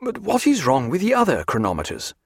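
A man's voice: a little high, wildly swooping pitch, a little fast, slightly rough, dry recording, clean studio sound, pitched above the performer's own voice, heavily acted. A man voicing a learned, unworldly ship's doctor in an audiobook, wry and formal with ornate old-fashioned phrasing. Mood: wry, puzzled.

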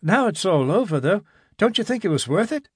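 A man's voice: high voice, big pitch swings, brisk, husky, no room sound, clean studio sound, voice pushed up, exaggerated voice. A man voicing an eager, self-assured young man in an audiobook reading.

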